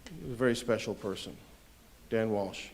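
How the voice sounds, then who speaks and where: A man giving a heartfelt spoken tribute at a ceremony, hesitant at times with small pauses. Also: fairly low pitch, expressive, vocal fry, darkish tone, a little hiss or hum.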